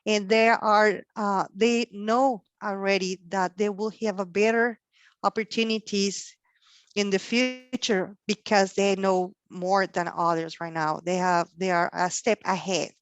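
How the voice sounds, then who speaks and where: A woman's voice: medium pitch, steady tone, dry recording. Woman with a Mexican accent, dry sound. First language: Spanish. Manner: halting, many fillers and restarts. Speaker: career panel speaker. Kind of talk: career talk to students.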